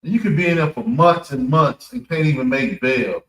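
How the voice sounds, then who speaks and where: An American man giving an off-the-cuff religious address, halting and repeating phrases for emphasis. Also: mid-range voice, expressive. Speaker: preacher giving a public address at a mosque. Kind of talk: extemporaneous religious talk. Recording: echoey room, distant mic.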